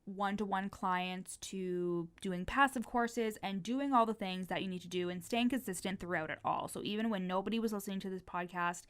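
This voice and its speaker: A woman's voice: medium pitch, clear, resonant tone. Casual young woman who swears a lot. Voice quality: stutters. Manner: casual, swears freely, lots of "like" fillers. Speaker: podcast host and business coach. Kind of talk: casual solo podcast monologue.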